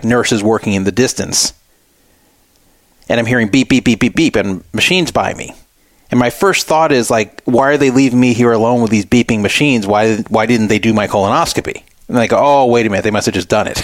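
A man's voice: on the low side, no echo. Middle-aged American man, casual dry-room talk. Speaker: radio show host. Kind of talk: casual personal storytelling.

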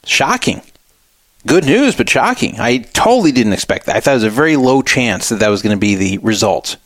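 A middle-aged man with an American accent talks like a radio host sharing a personal story. His voice sits at an ordinary male pitch and sounds close and dry. He rambles conversationally, repeating words for emphasis. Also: sing-song, a little fast, no echo.

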